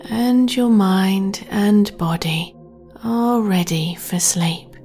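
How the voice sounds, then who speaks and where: Female sleep meditation host; breathy half-whisper, very unhurried, soft music bed. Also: mid-range voice. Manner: slow, soothing, gentle delivery.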